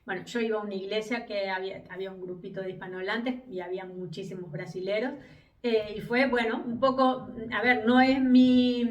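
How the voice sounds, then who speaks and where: A woman's voice: medium pitch, roomy sound, recorded from a distance. A woman in her fifties with an Argentine Spanish accent, giving advice to camera in a reflective vlog, with frequent hesitations and restarts. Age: 50s. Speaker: vlogger. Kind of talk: casual advice monologue to camera.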